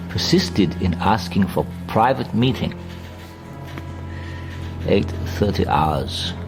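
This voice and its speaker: Deep, slow, officious male informer. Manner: formal, bureaucratic, detached. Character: cold officious male secret police informer dictating report. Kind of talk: clipped formal report reading in radio drama. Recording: music bed under the voice.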